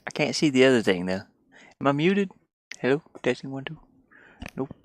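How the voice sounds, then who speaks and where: A middle-aged person streams in a loose, casual way at a medium pitch. The talk rambles along playfully, with words often repeated for fun. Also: sing-song, moderate pace. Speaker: game streamer. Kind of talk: casual livestream chat.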